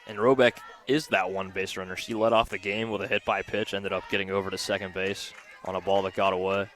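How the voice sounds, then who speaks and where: A man giving conversational color commentary on a live softball broadcast from the field. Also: sits low, level tone, brisk. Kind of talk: conversational live sports analysis. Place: outdoor softball field, under a tent.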